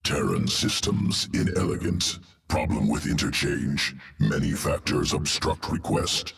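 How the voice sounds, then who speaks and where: A clinical alien hive creature in a video game, extremely deep and croaking. It talks in clipped fragments, its voice echoing in long reverb. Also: male voice, expressive, a little slow, a bit bright, recorded from a distance, heavily acted. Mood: cold, clinical. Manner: clipped telegraphic phrases without articles. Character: inhuman Zerg creature, clinical, alien mind. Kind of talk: video game cinematic acting.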